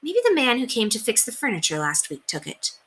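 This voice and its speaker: A woman's voice: fairly high pitch, big pitch swings, fairly quick, fairly bright tone, a little hiss or hum, pitched above the performer's own voice. A woman reading a children's storybook, voicing a caring mother who explains things patiently and reassuringly. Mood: concerned. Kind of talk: children's storybook read-aloud.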